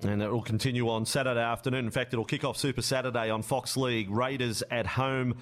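A man hosting a sports radio interview, asking deliberate, emphatic questions. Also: mid-range voice, steady tone.

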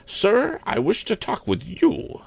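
A man's voice: high-pitched, sing-song, takes it slowly, vocal fry, slightly rough, voice pushed up, exaggerated voice. A man voicing a stern, indignant old maid school principal who talks in a haughty, commanding, formal way. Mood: bossy, formal.

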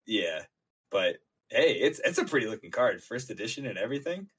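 A man's voice: mid-range voice, very animated, a little fast, fairly bright tone, husky. A man co-hosting a casual podcast, joking and reading out details with lots of fillers. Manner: jokey, reads out stats with many fillers. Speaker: podcast co-host and intern.

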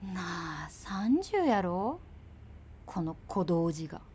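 A woman's voice: lowish voice, very animated, slow, darkish tone, slight background noise. An actress playing a practical, exasperated girlfriend with a Kansai accent in naturalistic radio drama dialogue, blunt and challenging. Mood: teasing, exasperated.

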